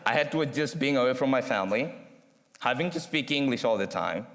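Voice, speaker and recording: Young kenyan guy giving a student speech, earnest and measured, mid-pitched voice, long echo from a big chapel. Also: expressive, a bit bright. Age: young adult. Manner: earnest and measured, with dramatic pauses. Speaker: student speaker. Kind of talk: prepared speech read aloud. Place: university chapel. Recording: long reverb from a large chapel.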